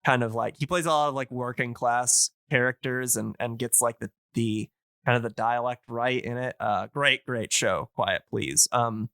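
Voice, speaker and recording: A man with a bright, clear voice, recorded close and dry. He talks in a casual podcast style, enthusiastic but halting, often searching for the right word. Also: mid-range voice, fairly even intonation, dry recording.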